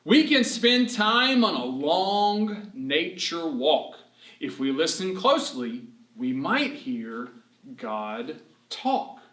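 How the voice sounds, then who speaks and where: A man reading an alphabet story to young children as a teacher, animated and encouraging, asking questions and drawing words out for emphasis. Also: fairly high pitch, slow pace. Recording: roomy, echoing room sound.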